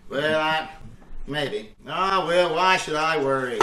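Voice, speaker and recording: A man acts out a folksy radio-comedy braggart with a high-pitched voice. He blusters and stammers through his boasts. The sound is echoey and distant, with some noise of a video call. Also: expressive, slow. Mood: blustering, flustered. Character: folksy, boastful, bumbling husband spinning tall tales. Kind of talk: old-time radio comedy acting. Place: indoors on a live Zoom call.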